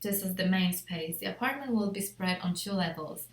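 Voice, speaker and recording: A woman reads a scripted project presentation as an interior designer, in a measured, formal way. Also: fairly low pitch. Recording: echoey untreated room.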